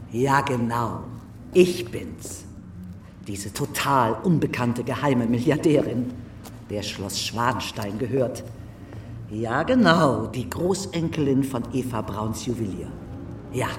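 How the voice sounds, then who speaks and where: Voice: mid-range voice, echoey room, recorded from a distance, noisy background. A performer playing a self-important billionaire baroness on the phone, affected, gushing and pompous, in a stage monologue.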